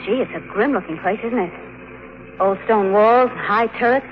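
An actress playing a curious, spirited young woman in an old radio drama, quick and inquisitive. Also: medium pitch, close to an everyday voice. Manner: quick, inquisitive, easily alarmed. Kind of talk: 1930s radio drama acting. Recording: dull old radio broadcast with faint noise.

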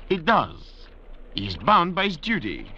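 Middle-aged convict leader and doctor, old radio drama. Pompous, calculating, bright forceful voice over faint vintage hiss. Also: male voice, fairly high pitch, huge pitch swings, a little slow, full character voice. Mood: cool disdain. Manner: pompous, precise, commanding. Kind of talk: 1950s radio drama acting. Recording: dull, noisy vintage radio recording.

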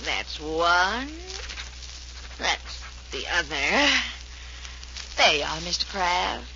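A woman's voice: lowish voice, very animated, drawn-out words, bright, forceful tone, full character voice. A muffled, hissy vintage radio recording of a brassy young American showgirl. She is slangy and scheming, with airs and a voice that swoops up and down. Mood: gushing, affected. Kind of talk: 1940s radio crime drama acting. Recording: muffled 1940s radio recording, noisy hiss.

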